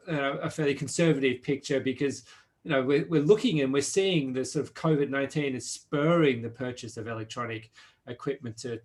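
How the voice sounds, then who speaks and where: A man moderating an online panel, asking questions with hesitant restarts. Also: medium pitch.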